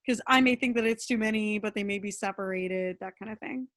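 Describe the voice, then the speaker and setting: Young woman, medium-pitched, casual and candid. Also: a little fast, fairly bright tone. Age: young adult. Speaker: playwright. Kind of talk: casual, candid feedback talk.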